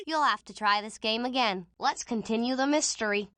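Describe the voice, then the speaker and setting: Casting: brainy young female sleuth, highish voice, clipped and matter-of-fact, dry studio sound. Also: normal speed, sharp tone, no echo. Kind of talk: cartoon video game voice acting.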